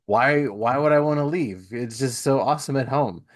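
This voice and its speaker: A man's voice: medium pitch, moderate pace. A middle-aged man hosting a podcast in a casual, rambling, self-deprecating and slangy way. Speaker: podcast host. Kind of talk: casual chat.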